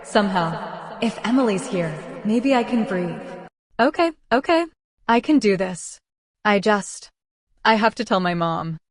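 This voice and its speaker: A woman playing a frightened but determined pregnant teenage girl in a dramatic roleplay story, halting and emotional. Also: mid-range voice, expressive, natural voice. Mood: tentative resolve. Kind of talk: dramatic first-person roleplay story acting and narration. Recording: echoey, long reverb, music underneath.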